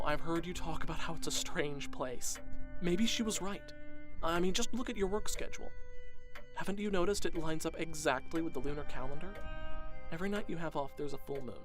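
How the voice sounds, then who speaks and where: A man's voice: on the high side, close to an everyday voice. A young actor playing a troubled son in a horror audio drama, speaking in an earnest, hesitant, worried way as a young man.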